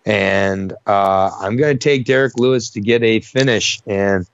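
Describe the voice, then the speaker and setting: Fight-pick co-host, low male voice, dull dry lo-fi recording, rambling casual delivery. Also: a little slow, gravelly. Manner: rambling, many fillers and restarts. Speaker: co-host of a fight prediction show. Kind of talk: casual betting breakdown chat.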